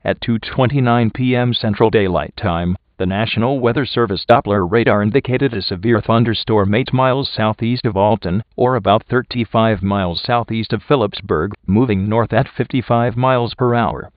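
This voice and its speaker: A man's voice: fairly low pitch, normal speed, hardly any pauses, no room sound. A synthetic man's voice reading weather bulletins mechanically and impersonally, on a muffled radio recording. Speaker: automated weather radio announcer.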